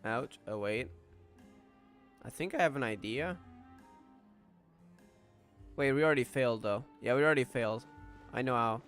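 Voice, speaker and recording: A man giving casual playthrough commentary as a streamer, thinking aloud in a low-key, self-deprecating way. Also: normal speed, long pauses, a bit bright. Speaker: gaming streamer. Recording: game music behind the voice.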